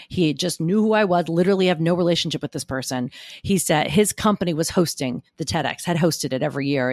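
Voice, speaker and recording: Woman w a gruff gritty voice, kinda low, punchy and emphatic, super dry sound. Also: no echo. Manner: emphatic, argumentative, animated storytelling. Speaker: guest speaker coach. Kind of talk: podcast interview.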